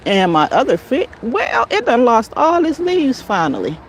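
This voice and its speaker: A woman's voice: a little high, very animated, moderate pace, husky. An American woman chatting casually on a garden livestream, folksy, often muttering to herself and her plants, recorded on a phone outdoors. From United States. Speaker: garden vlogger, livestream host. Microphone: handheld phone.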